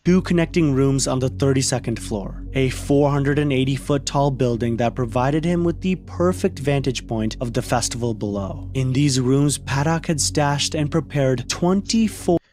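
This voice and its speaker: A man giving scripted, measured narration for a documentary-style video. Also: mid-range voice, darkish tone. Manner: measured, storytelling. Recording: faint music behind.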